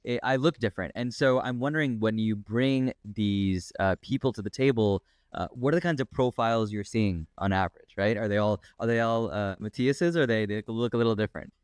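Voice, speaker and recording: A man's voice: fairly low pitch, brisk, clean tone, no room sound. A man hosting an interview podcast, asking long, chatty questions that he often ends with "right?". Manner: chatty, tag questions like "right?", laughs easily. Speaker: podcast host.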